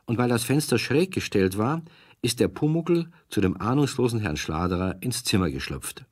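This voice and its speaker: A man with a husky voice narrating a scripted children's radio play as a storyteller. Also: medium pitch, lively intonation, moderate pace, no room sound, studio quality, lightly acted.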